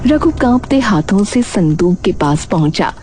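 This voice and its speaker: Casting: female narrator for dramatic moral tales, animated intonation, mid-range voice over a music bed. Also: mid-range voice, unhurried, a little hiss or hum. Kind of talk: dramatic scripted story narration. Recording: background music throughout.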